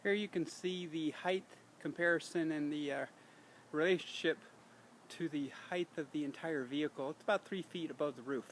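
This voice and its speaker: A man's voice: fairly high pitch, moderate pace. An American man giving a casual how-to demonstration as host of a DIY video, in a folksy, rambling way, often correcting himself. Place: outdoors beside a vehicle. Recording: noisy on-location camera audio.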